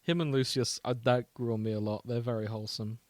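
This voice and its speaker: A man's voice: medium pitch, steady tone, quick pace, no room sound. Man casually explaining, dry close sound. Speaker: podcast co-host. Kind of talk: casual chat about a TV show.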